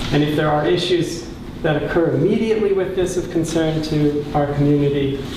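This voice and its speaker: A soft-voiced man addresses a council meeting in a slightly high register. He is thoughtful and halting, often searching for the right word. The room is very reverberant, with clear noise behind him and the microphone some way off. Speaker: borough councilor speaking at a caucus meeting. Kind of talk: extended remarks at a public meeting. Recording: echoey room, distant mic, clear background noise.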